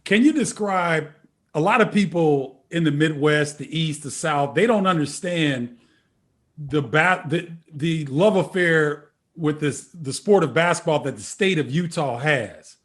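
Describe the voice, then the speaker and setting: A man's voice: a little high, a little slow. A man hosting an interview show, asking long questions and giving short affirmations. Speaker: show host.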